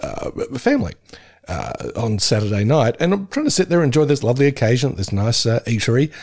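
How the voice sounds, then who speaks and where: A man's voice: mid-range voice, very animated, fast talker, a little mellow. A middle-aged man co-hosting a casual podcast, telling jokey stories with plenty of filler ums. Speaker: podcast co-host.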